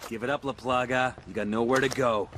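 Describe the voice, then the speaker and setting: Man acting game special forces soldier, medium pitch, terse, commanding, faint vehicle noise. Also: steady tone, fairly quick, a bit bright, natural voice. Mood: cool authority. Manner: terse, controlled. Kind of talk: scripted game dialogue.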